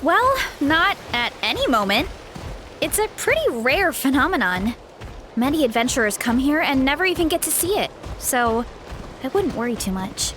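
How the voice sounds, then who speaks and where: A woman's voice: high voice, very animated, moderate pace, background noise. An actress playing a cheerful, modest young woman warrior in an anime-style video game, with an earnest, bubbly delivery. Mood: modest, reassuring. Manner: earnest, bubbly, self-effacing.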